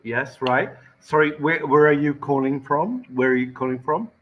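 An Englishman in his sixties with a southern London-like accent, patiently tutoring English over a headset video call, speaking slowly and clearly. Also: fairly high pitch, highs cut off, slight background noise. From England. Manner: slow, clear, patient corrections. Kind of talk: casual video call lesson.

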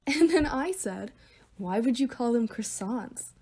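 A woman's voice: a little high, lively intonation, a little slow, warm tone, husky, exaggerated voice. A woman voicing an easygoing, joking young monster friend in a cartoon. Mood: casual. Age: young adult. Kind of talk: animated cartoon acting.

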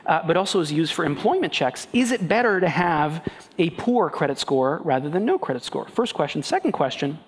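A man's voice: on the high side, very animated, brisk. A man in his thirties from Peru, speaking emphatically as a panelist on a conference stage through a headset mic. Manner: earnest, emphatic with restarts. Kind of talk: panel discussion. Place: large banquet hall on stage. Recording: long hall reverb, faint noise.

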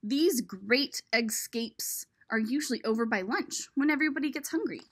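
A woman's voice: fairly high pitch, animated, moderate pace. A woman voicing a worry-prone homebody egg from a picture book, reading expressively and chattily to the listener. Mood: uneasy. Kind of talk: expressive storybook read-aloud.

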